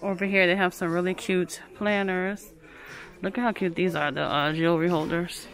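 A woman's voice: lowish voice, fairly even intonation, moderate pace. A woman narrating a casual shopping vlog on a handheld phone inside a large home goods store, chatting about items and reading prices aloud. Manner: chatty, keeps calling things cute, reads prices aloud. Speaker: shopping vlogger. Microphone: handheld phone camera. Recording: store recording with music playing behind.

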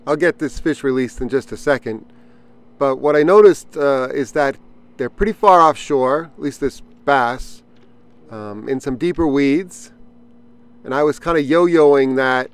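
A man hosting his own fishing vlog, talking casually in a relaxed way with fillers while he casts and reels from a small boat on a pond, recorded on an action camera. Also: medium pitch, unhurried, clear, resonant tone, dry recording. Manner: relaxed explanatory, thinking aloud with fillers. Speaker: fishing channel host.

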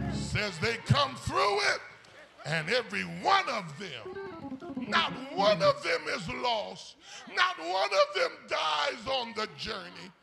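High whooping preacher with gravelly tones. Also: male voice, bright, forceful tone. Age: older. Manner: whooping, chanting, calls on the congregation. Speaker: pastor. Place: church sanctuary.

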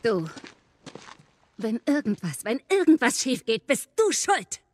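An actress playing a fierce, protective adult wife and survivor in a video game, forceful and reproachful. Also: female voice, on the high side, very animated, unhurried. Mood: angry, anguished. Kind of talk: dramatic video game acting.

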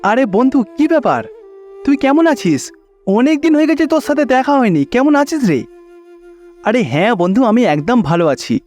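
A hearty village pal acted by a man in a cartoon tale, high in pitch. He is chatty, friendly and cheerful, with light music behind. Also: sing-song, moderate pace, darkish tone.

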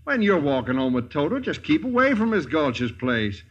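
A man's voice: on the high side, big pitch swings, normal speed, slightly rough, dull audio. A man playing a folksy, teasing farmhand with a rural American accent in a 1950s radio drama.

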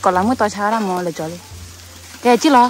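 A woman's voice: medium pitch, fast, faint music behind. A young woman vlogger in casual, giggly chatter while cooking outdoors on a forest hillside, picked up by a camera mic.

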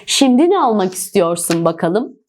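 A woman voicing a gentle, wise rose fairy in a children's tale, kindly and instructive. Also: mid-range voice, very animated, moderate pace, a little hiss or hum, lightly acted. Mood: kindly, teasing.

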